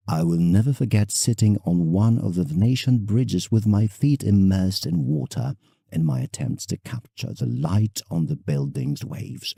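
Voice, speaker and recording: A man playing an old painter in an audio drama, reflective and enraptured, reading his letter theatrically with musing pauses. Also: fairly low pitch, gravelly, warm tone, breathy edge, dry recording, studio quality, heavily acted.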